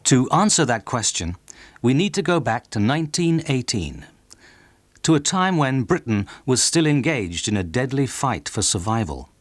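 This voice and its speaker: A man's voice: medium pitch, very animated, normal speed, no echo. A man reading scripted narration for a documentary as its narrator.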